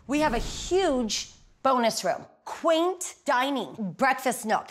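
A woman's voice: high voice, lively intonation, takes it slowly. A woman co-hosting a home renovation show, talking through a house in a casual, upbeat, decisive way. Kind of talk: casual on-site chat and narration. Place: house under renovation, indoors.

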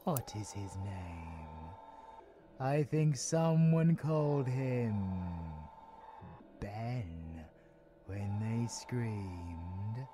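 A man's voice: on the low side, animated, drawn-out words, over music. A British man playing a sadistic, taunting monster supervillain in an audio roleplay, savouring words in a sing-song manner. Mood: gleeful mocking. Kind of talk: audio roleplay villain acting.

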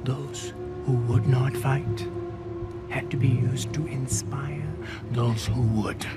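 An actor playing a cultured, cruel warlord in a video game, speaking in a measured, pompous way. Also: male voice, mid-range voice, fairly even intonation, natural voice. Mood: calm menace. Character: menacing, cultured male warlord, grandiose and cruel. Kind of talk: cinematic video game acting. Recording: loud music behind the voice.

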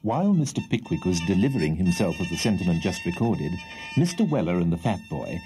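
A man's voice: sits low, warm tone, slightly rough. A British man reading a literary audiobook as storyteller, in a precise, theatrical manner. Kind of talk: scripted literary audiobook narration.

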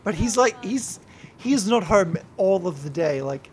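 A drunk young man rambling and swearing through a gameplay livestream, yelling at the game now and then. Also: high voice, expressive, unhurried, slight background noise. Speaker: YouTuber and streamer.

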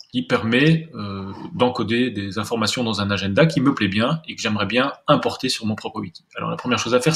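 A man with a medium-pitched voice walks through a software demonstration step by step. He speaks as a tutorial presenter, pausing and thinking aloud as he goes. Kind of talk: screencast tutorial, explaining step by step.

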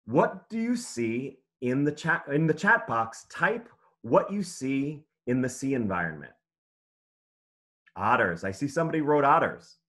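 Male read-aloud teacher for young kids: bright, expressive pitch, clear instructions, encouraging delivery. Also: unhurried. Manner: instructive, simple phrasing for young children, encouraging. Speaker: author and teacher reading aloud. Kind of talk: interactive children's read-aloud lesson over video call.

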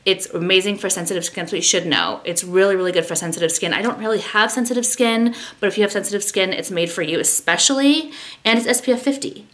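A woman's voice: mid-range voice, fast talker, a bit bright. Chatty rambling woman vlogger. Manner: chatty, rambling, self-deprecating. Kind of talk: casual vlog chat with product talk. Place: bedroom at home, indoors. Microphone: camera at arm's length.

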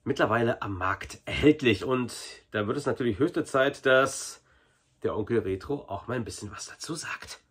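Casting: male reviewer, forties, a little husky, informal and enthusiastic fan chatter. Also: medium pitch, moderate pace, fairly bright tone. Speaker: video reviewer. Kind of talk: casual unboxing review to camera.

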